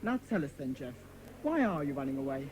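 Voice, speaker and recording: Woman playing a confident, bossy schoolboy, lively delivery. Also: a bass voice, poor audio. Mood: inquiring, reassuring. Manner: brisk, authoritative, questioning. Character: confident, bossy schoolboy leader of a children's club. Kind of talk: children's audio drama. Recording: clear background noise.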